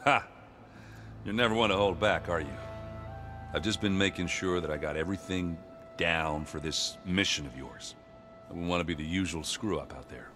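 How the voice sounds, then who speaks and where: Very deep-voiced, good-hearted soldier man played by an actor. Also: moderate pace, natural voice. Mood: self-deprecating. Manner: hesitant, joking, blokey and sincere. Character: gruff, good-hearted, self-deprecating soldier man fond of ale. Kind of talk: video game dialogue acting. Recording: prominent music behind the voice.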